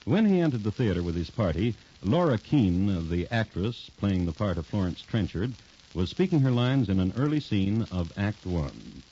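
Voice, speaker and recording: A man playing a dry, wry crime storyteller, narrating in 1950s radio style with deliberate phrasing. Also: lowish voice, sing-song, dark tone, breathy edge, heavily acted. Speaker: host and narrator. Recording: dull, faintly hissy 1950s radio recording.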